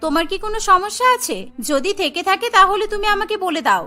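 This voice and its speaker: Woman playing kind young female food seller, very high voice, bright, obliging, caring, cartoon. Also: lively intonation, fast, exaggerated voice. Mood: concerned.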